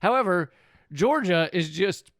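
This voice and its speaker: A man's voice: high-pitched, animated, unhurried, a bit bright, no room sound, clean studio sound. A man hosting a political podcast in casual chat, joking, swearing freely and laughing often. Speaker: podcast host.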